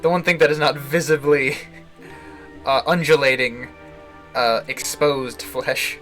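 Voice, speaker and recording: A man giving casual, halting live commentary on a visual novel, chuckling to himself as he rambles. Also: mid-range voice, fairly even intonation, bright, forceful tone, low-quality recording. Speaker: game streamer, host. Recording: game music behind the voice. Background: video game music.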